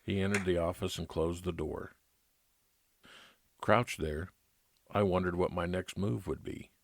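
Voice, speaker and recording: A man's voice: deep voice, unhurried, vocal fry, no room sound, clean studio sound, exaggerated voice. A man playing a private detective who narrates his own case matter-of-factly in an old-time radio drama style.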